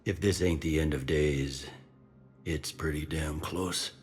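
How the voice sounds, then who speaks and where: A jaded, gruff officer of a sci-fi game. He speaks bluntly in everyday slang. The pitch is deep, with soft music underneath. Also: fairly even intonation, takes it slowly. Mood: weary grumbling. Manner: blunt, colloquial.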